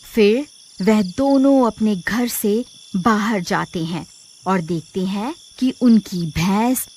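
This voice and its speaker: Female narrator for moral tales: unhurried, slightly high voice, faint noise behind. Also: a little mellow. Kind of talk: scripted children's moral story narration.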